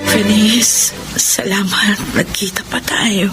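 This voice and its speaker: An actress playing a gentle, resigned, dying young woman in a Filipino radio drama, tender in manner. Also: mid-range voice, fairly even intonation, over music, lightly acted. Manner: slow, tender delivery.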